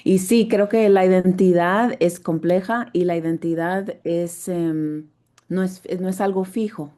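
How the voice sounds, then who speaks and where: A woman's voice: fairly low pitch, fairly even intonation, measured pace, mellow. A woman who teaches voice, speaking thoughtfully on a video call, searching for her words and restarting often.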